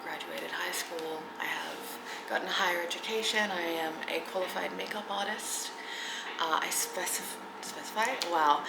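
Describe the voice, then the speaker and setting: An Australian woman in her thirties chatting casually to camera in a get-to-know-me vlog, hesitant with many ums and soft giggles, sometimes dropping near a whisper. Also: lowish voice, creaky, echoey room, background noise. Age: 30s. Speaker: content creator. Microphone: camera mic.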